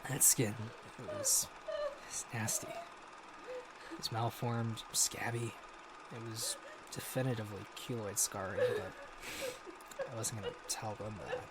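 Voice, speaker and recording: An actor playing a haunted American war veteran, recounting grim horrors haltingly in an audio drama log. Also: male voice, mid-range voice, huge pitch swings, noisy background. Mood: shaken, disgusted. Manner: halting, sighing, hesitant delivery.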